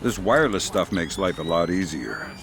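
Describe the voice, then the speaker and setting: An actor playing an older, dry and wry veteran spy in a video game, with a deadpan manner. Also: male voice, low-pitched, normal speed, vocal fry, noisy background, full character voice. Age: middle-aged.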